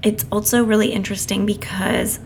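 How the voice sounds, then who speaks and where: A woman with a slightly hoarse voice that is starting to go, talking casually and chattily to listeners as a solo podcast host. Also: level tone, brisk, darkish tone. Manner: chatty, informal, apologetic asides to listeners. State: losing her voice. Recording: clear background noise, passing truck rumble. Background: noisy truck outside.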